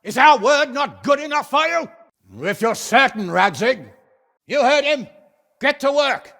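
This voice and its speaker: A man playing a gruff, blunt, hot-tempered middle-aged medieval nobleman in a video game, commanding and scolding in a gravelly, husky voice. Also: very high-pitched, animated, unhurried, sharp tone, no echo, studio quality, heavily acted. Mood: commanding, defiant. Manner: blustering, scolding, swearing, commanding.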